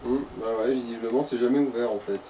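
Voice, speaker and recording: Mellow-voiced man, a bit off-mic. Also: mid-range voice, steady tone, quick pace. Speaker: co-commentator advising the player. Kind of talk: casual gaming chat. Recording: distant mic with faint noise.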